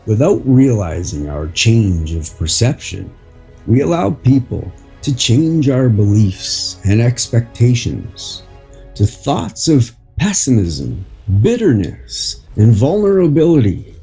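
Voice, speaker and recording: Male narrator, very husky and breathy, for unhurried inspirational reading; pitch moves freely, light music underneath. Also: very animated, dark tone. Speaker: podcast host and article reader. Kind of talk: slow read narration of an inspirational article.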